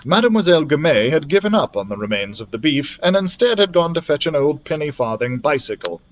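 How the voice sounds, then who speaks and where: A man narrating a children's fantasy novel as a library storyteller, expressively, in an animated manner. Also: a little high, fairly quick. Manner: animated, acts out the characters' dialogue.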